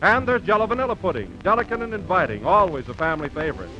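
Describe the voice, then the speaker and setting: Male American announcer, high voice, polished 1930s sales read, muffled vintage radio sound with music. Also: sing-song, fast, sharp tone.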